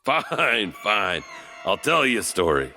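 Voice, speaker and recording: A man's voice: lowish voice, big pitch swings, takes it slowly, sharp tone, slight background noise. A man narrating as an enigmatic janitor host, telling spooky tales with eerie chuckles and a drawling delivery. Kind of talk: spooky scripted horror narration.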